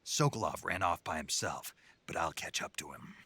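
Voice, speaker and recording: Deep, gruff American soldier. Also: male voice, lively intonation, moderate pace, bright, forceful tone, no room sound, exaggerated voice. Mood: serious briefing. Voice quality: gravelly, gruff. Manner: terse, matter-of-fact. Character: gruff, calm, battle-hardened male American special forces agent. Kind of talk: dramatic video game voice acting. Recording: cinematic music behind the voice. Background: game cutscene music.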